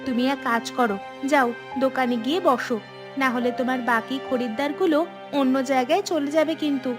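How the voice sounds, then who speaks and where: A woman plays a young unmarried daughter in a cartoon drama. Her voice is high, and she speaks earnestly, practical and gently firm, over clearly audible music. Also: steady tone, brisk, natural voice. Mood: reassuring, firm. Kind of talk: cartoon dialogue.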